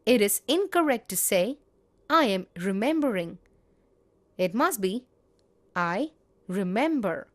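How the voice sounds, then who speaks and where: A woman teaching grammar, reading example sentences in a measured, deliberate way. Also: medium pitch, lively intonation. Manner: measured, spells out each example. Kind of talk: slow, deliberate grammar lesson.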